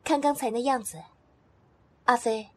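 一名女配音演员扮演温柔体贴的女性，语气关切。. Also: female voice, fairly high pitch, a little slow, husky. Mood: concerned.

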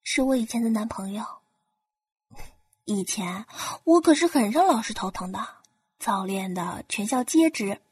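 An actress playing a polite, reserved young woman with quiet pluck in a radio drama. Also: medium pitch, animated, unhurried, a little hiss or hum, lightly acted. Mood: wry. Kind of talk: Chinese radio drama acting. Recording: roomy echo, music underneath.

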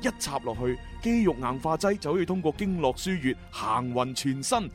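An actor voices a cocky agent in a radio drama. His delivery swings widely as he explains and brags, smug and animated. Music plays underneath. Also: medium pitch, moderate pace, lightly acted. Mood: boastful, animated. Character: boastful male time-travelling agent, a senior colleague. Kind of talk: Cantonese radio drama acting.

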